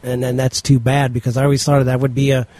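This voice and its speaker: A man's voice: mid-range voice, level tone, fast, warm tone, dry recording. A middle-aged man hosting a casual podcast chat, rambling loosely and reading out article titles. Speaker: podcast host.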